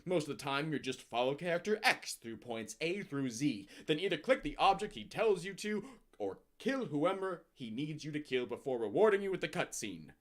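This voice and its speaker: A man with a fairly high voice reads scripted text as if it were high drama. His intonation swings widely, and he leans hard on key words with a grandiose, theatrical delivery. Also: sing-song, normal speed. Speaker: narrator reading out a user review.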